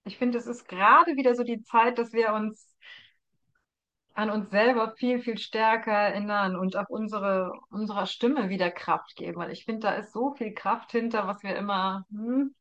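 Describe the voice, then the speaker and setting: A woman's voice: mid-range voice, steady tone, normal speed, a bit bright, muffled recording. A woman hosting a conversational interview, speaking in an appreciative, affirming way. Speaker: interviewer, host.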